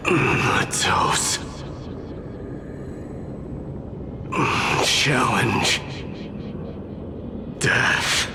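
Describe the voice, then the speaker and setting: A man acting as a weary, undying warrior lord in a fantasy game, halting and solemn, with breathy whispered edges. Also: medium pitch, animated, background noise. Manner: halting, solemn, heavy pauses. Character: weary, undying male warrior lord defiantly resisting death. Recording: dramatic music behind the voice.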